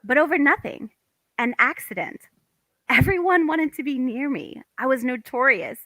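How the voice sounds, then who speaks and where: Melancholy young stage wife played by a woman, bright forward tone, higher pitch, dry close sound. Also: animated, normal speed, dry recording, exaggerated voice, poor audio. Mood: wistful. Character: melancholy young wife, wistful over faded fame. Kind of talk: live cold table read of a stage comedy.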